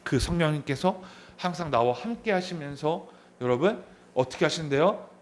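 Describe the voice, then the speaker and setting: A pastor in his thirties preaches from the pulpit in a voice that sits a little high for a man. He often asks the people in front of him questions and says his main points more than once. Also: animated, normal speed. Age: 30s. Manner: asks the congregation questions, repeats key phrases. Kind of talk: sermon. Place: church sanctuary.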